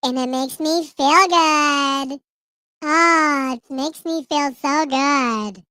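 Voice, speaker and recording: A smug, whiny old politician who sounds like a child, as a man puts him on. He speaks in a high, slow, mocking drawl, recorded close and dry. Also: pushed and bright, no echo, heavily acted.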